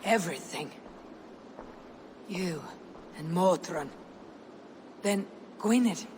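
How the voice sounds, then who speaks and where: An actress playing a stern, resolute Viking warrior in a video game cutscene, grave, blunt and commanding. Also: female voice, lowish voice, expressive, takes it slowly, a little mellow, slight background noise. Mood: stern, confronting.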